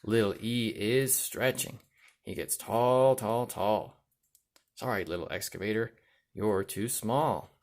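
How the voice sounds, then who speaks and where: A man reading a children's picture book aloud, with rhythmic delivery and deliberate emphasis on the sound words. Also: lowish voice, a little mellow. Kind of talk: read-aloud children's storytelling.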